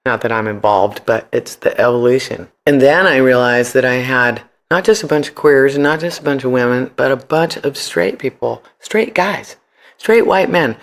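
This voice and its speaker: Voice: medium pitch, normal speed. An American podcast guest telling stories casually and colloquially, animatedly acting out what people said. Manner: animated, colloquial, acts out quoted speech. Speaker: podcast guest, founder of a ride service. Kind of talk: casual storytelling in an interview.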